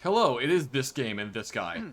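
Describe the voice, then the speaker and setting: Casting: young male streamer, joking and chatty, lively swings in pitch, mid-range voice. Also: moderate pace. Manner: joking, chatty, animated reactions. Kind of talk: casual live-stream chat while gaming.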